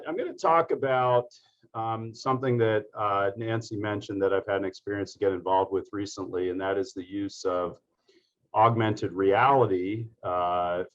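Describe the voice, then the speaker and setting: A man giving a measured medical lecture over a video call, pausing often with fillers. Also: lowish voice. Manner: hesitant, measured, many fillers. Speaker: guest lecturer, surgeon. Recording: dull lo-fi video call audio.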